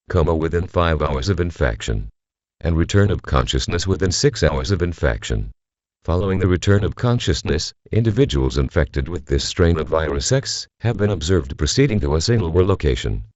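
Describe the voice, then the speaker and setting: A man playing a grave government announcer, reading a scripted emergency bulletin in a formal, measured, solemn manner. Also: very deep voice, lively intonation, darkish tone, no echo, highs cut off. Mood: grave, official. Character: grave male government civil defense announcer.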